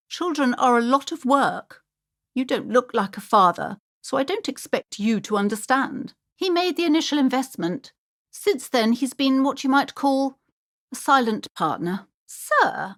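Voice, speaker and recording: A woman's voice: fairly high pitch, very animated, normal speed. An actress playing a fussy, superstitious middle-aged woman who runs a bookshop in a video game, prim and haughty, with dramatic emphasis. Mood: prim, defensive. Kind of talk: theatrical video game character acting.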